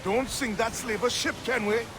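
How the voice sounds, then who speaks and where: An actor playing a loyal, principled pirate ship quartermaster in a video game, speaking earnestly and forcefully. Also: male voice, very high-pitched, fairly even intonation, moderate pace, background noise. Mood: disapproving. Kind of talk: video game character acting. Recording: loud music and ship sounds behind.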